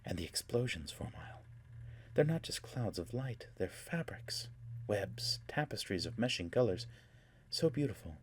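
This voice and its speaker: A man voicing a haughty, rapturous young noblewoman in an audiobook, with a soft, husky voice and a languid, taunting delivery. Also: on the low side, lively intonation. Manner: theatrical, languid, taunting, with drawn-out words.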